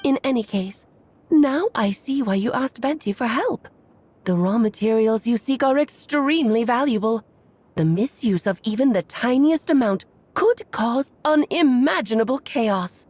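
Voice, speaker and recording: A woman's voice: fairly high pitch, lively intonation, a little slow, darkish tone, husky. A woman voicing a playful, elegant, mysterious witch aunt in a video game, speaking in a coy, theatrical, genteel manner. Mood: fond, composed. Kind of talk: video game character acting.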